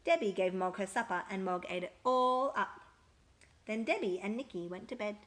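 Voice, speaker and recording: A woman reading a children's picture book aloud with expressive, emphatic storytelling. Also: medium pitch, moderate pace.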